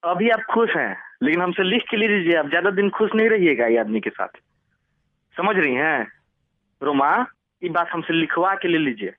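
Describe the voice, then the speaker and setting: An eager forty-year-old Bihari suitor played by a man pleads his case in a fairly high male voice. He rambles on pushily and talks over the listener, as comic prank calls go, over a narrow phone line. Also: expressive, normal speed, close to an everyday voice. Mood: insistent. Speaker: radio prank caller.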